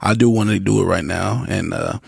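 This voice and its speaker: A man's voice: deep voice, brisk, vocal fry, breathy edge, dry recording, studio quality. A young man as a podcast guest, talking in a casual, easygoing way with lots of fillers and restarts. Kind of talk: casual interview talk.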